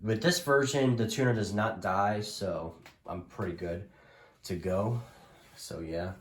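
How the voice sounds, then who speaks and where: A man's voice: on the low side, measured pace. A man giving a casual, rambling showcase of his collection, talking informally and hesitantly as he presents each item. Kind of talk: casual product showcase narration.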